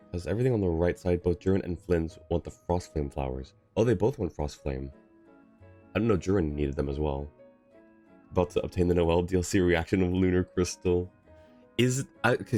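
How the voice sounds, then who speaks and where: A man chatting casually on a game livestream in a halting, chatty way. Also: deep voice, warm tone. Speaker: game streamer.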